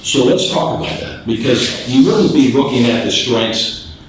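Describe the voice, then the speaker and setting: A man's voice: medium pitch, animated, a little slow, slight background noise. A middle-aged man giving a candid, plain-spoken business talk, with many fillers and restarts, in a meeting room. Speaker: business presenter. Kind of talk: informal business presentation. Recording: echoey room, speaker far from the mic.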